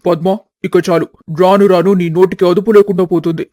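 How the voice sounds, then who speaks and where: Man as a high-voiced, exasperated grown son. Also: flat delivery, fairly quick, darkish tone, no room sound, clean studio sound, close to an everyday voice. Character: exasperated adult sons keeping family peace. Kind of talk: dramatized village family story.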